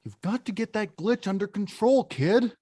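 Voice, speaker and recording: A woman's voice: medium pitch, expressive, moderate pace, mellow, breathy edge, no room sound, clean studio sound. A woman playing a big, clumsy, good-hearted man coaching someone in a cartoon script read, blunt and a little hesitant. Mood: wry. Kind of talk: live animated-film table read.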